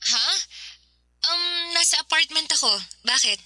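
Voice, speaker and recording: Sweet young woman, high bright voice, unhurried, giggly and coy, radio romance drama. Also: no room sound, clean studio sound, exaggerated voice. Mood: cheerful. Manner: giggly, coy, gently teasing.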